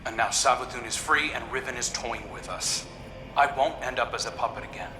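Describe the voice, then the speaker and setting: A man's voice: medium pitch, steady tone, brisk, close to an everyday voice. Give me a man playing an echoey, wary, troubled man. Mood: bitter, wary. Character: wary, wounded man haunted by past manipulation. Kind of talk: video game dramatic dialogue. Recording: echoey game voice under music.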